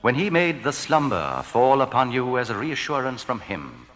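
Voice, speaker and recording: A man giving a solemn, formal reading of translated scripture, deliberate and declamatory in his phrasing. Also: mid-range voice, sing-song, fairly bright tone. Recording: long reverb, hall-like.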